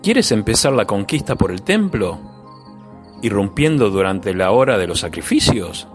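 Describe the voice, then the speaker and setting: Low, animated eager apostle played by a man. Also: moderate pace, faint music behind. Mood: eager, challenging. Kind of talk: religious radio drama acting.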